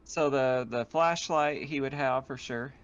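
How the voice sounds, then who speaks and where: A man's voice: medium pitch, a little slow, clear, resonant tone, muffled recording. A man explaining game details conversationally as the game master of an online tabletop session. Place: online voice call.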